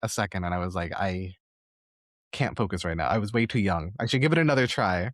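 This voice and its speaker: A man with a fairly low voice hosts a conversational interview podcast. He speaks earnestly and winds his way into his questions. The sound is close and dry, with little room around it. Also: brisk, no echo. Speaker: podcast host.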